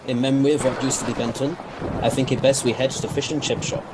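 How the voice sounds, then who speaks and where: A man plays a brisk, wry scientist-adventurer in an audio drama. He explains things formally and a little grandly, in a medium-pitched voice. Clear background noise sits behind him. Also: fairly even intonation, natural voice. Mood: grave, urgent.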